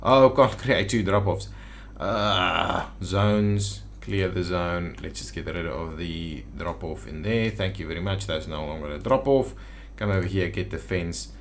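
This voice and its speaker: A man's voice: low voice, measured pace, vocal fry, hardly any pauses, a little hiss or hum. A man giving casual gameplay commentary as a video host, thinking aloud and muttering to himself. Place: indoors at a computer.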